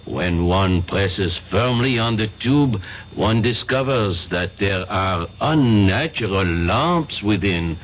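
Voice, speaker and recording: An actor playing a polite, methodical French police inspector in a radio drama, with fussy, hesitant speech. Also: male voice, fairly low pitch, lively intonation, takes it slowly. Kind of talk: 1970s radio drama acting. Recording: dull lo-fi old radio broadcast with faint hiss.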